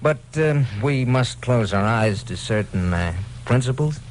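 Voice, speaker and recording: Man acting crooked attorney villain, suave, calculating, persuasive, medium male pitch, old-time radio drama, faintly noisy recording. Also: lively intonation, unhurried, close to an everyday voice. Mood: scheming, assured. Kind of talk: 1940s-50s radio detective drama acting.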